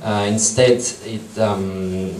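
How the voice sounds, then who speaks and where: A man's voice: on the low side, fairly even intonation, very slow, darkish tone, a little hiss or hum. A man in his thirties with a French accent, giving a hesitant public talk to an audience in a meeting room. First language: French and Portuguese. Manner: hesitant, many ums and restarts. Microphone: far room camera. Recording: echoey room from far off.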